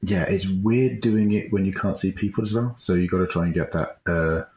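A man's voice: low voice, normal speed, darkish tone. A man, an amateur voice actor, chatting casually in a modest, tentative way. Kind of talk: casual behind-the-scenes chat.

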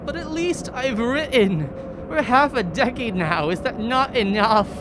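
A woman playing a desperate, obsessive dying writer in an audio drama, halting and fraught as she freezes. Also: on the high side, animated. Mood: pleading, angry. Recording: clearly noisy background.

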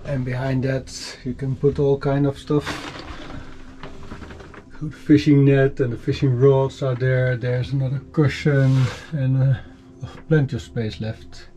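A man of middling pitch talks through an informal tour as an owner would. His phrasing is a little hesitant, put together piece by piece. Clear ambient noise, like that of the outdoors, sits behind his voice. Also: level tone, a little slow, warm tone, rough recording. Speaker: boat owner giving a walkaround tour. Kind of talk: informal explanatory tour commentary. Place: aboard a sailing catamaran in a marina, in bow compartments and on deck.

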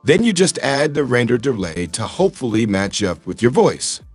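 A man's voice: medium pitch, sing-song, moderate pace, breathy edge, soft background music. A man speaking through an AI voice changer as an intense American film actor, demonstrating in tutorial style. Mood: explanatory.

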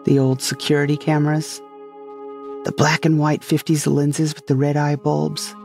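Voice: medium pitch, little pitch movement, normal speed, gravelly. A narrator delivering a scripted dramatic monologue in a sci-fi audio drama. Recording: faint music behind the voice.

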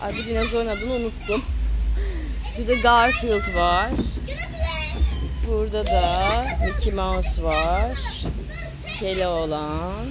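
A woman's voice: mid-range voice, slow, long pauses, very noisy. A woman chatting casually to the camera and calling out to children in a sing-song way, recorded on a handheld phone at an outdoor playground. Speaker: parent filming a family vlog.

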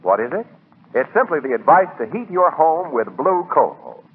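A man's voice: a little high, sing-song, moderate pace, husky. A male radio announcer reading a 1940s advertisement with polished, emphatic salesmanship. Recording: tinny 1940s radio recording.

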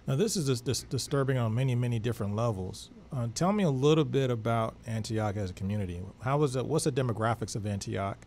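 A man's voice: mid-range voice, fast, hardly any pauses, darkish tone. A middle-aged American man co-hosting a radio show, asking inquisitive questions and rephrasing them, on a studio microphone. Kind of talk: conversational interviewing. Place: small indoor radio studio. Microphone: studio boom microphone with headset. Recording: noticeable background noise.

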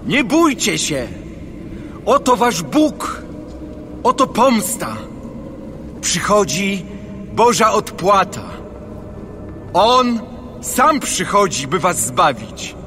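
An exalted prophet in a biblical radio drama. He proclaims in a high, swooping voice, drawing words out very slowly. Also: very animated, background noise, full character voice. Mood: exultant, urgent. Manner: slow, proclaiming. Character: exalted prophetic herald calling out courage to the fearful. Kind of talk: dramatized scripture audio play.

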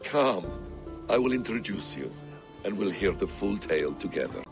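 An actor playing a shrewd, brooding warrior-scholar in a video game, speaking in a measured, sardonic, probing way. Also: male voice, low-pitched, sing-song, over music. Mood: scheming. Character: wry, shrewd, brooding male warrior-scholar, a sly schemer. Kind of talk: video game cutscene acting.

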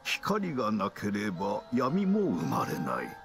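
An actor playing a stately elderly king in a game cutscene, speaking gravely in formal, archaic phrasing. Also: on the low side, wildly swooping pitch, slow, full character voice. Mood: ominous, measured. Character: solemn, stately elderly kings in council. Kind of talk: dramatic Japanese RPG cutscene acting. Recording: game cutscene with faint music.

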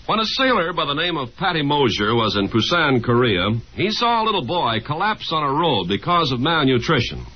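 Animated, husky 1950s radio announcer man. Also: on the high side, fairly quick, few pauses. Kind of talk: 1950s radio scripted narration. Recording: muffled 1950s radio broadcast.

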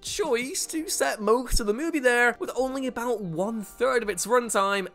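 A man's voice: very high-pitched, moderate pace, fairly bright tone. A man presenting a scripted list video as jokey, opinionated commentary, with emphatic, sardonic delivery and exaggerated stress. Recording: music behind the voice. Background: background music.

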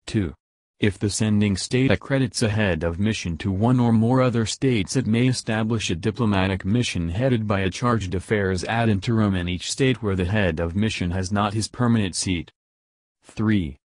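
A man reading a legal treaty aloud in a steady, measured way, enunciating each clause. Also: lowish voice, no room sound. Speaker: reader of a legal text. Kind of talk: plain read-aloud legal text.

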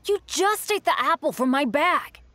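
High, spirited boy adventurer. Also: expressive, normal speed, bright, forceful tone, no room sound. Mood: teasing. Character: spirited, good-natured youth, a boy adventurer.

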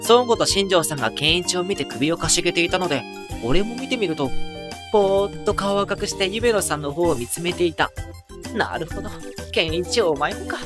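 Teenage boy protagonist, self-mocking, musing, first-person anime drama narration, music behind. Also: sits high. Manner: dry, musing asides.

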